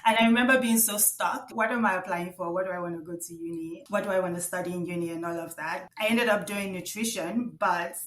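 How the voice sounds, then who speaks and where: A woman in her thirties, speaking as a podcast guest. Her voice sits slightly low, and she talks in a chatty, animated way, laughing often and circling back on her own sentences. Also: a little fast, hardly any pauses. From Nigeria (Lagos). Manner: chatty and lively, laughs often, rambling restarts. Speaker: guest, company co-founder. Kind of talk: casual interview chat.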